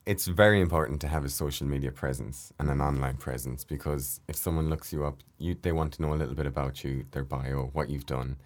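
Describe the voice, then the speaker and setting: A man's voice: rumbling low, brisk, few pauses. A young Irish man, an actor, chatting casually in an interview and telling stories from his work. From Ireland. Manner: chatty, anecdotal, relaxed. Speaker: actor guest.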